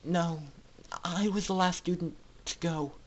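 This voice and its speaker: A man's voice: sits high, fairly even intonation. A man voicing an earnest, determined young female pegasus pony with an emotional delivery, in a storybook reading. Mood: tearful. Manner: tearful, earnest, halting under emotion.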